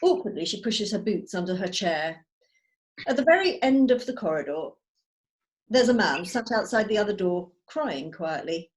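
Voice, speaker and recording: A woman's voice: medium pitch, sing-song, normal speed. Woman reading stage directions. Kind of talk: scripted narration. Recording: video call table read.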